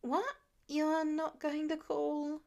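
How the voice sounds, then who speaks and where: A woman's voice: high voice, monotone, slow, gravelly, darkish tone, voice pushed up, full character voice. A woman voicing a frightened, defensive teenage boy who stammers and snaps with bitter sarcasm. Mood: panicked. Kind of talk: audiobook fan reading.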